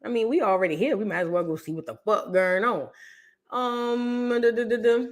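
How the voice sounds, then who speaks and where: A woman hosts a casual reaction stream, talking loudly and with emphasis, repeating her punchlines and calling on viewers by name. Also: a little high, moderate pace. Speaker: livestream host reacting to history content.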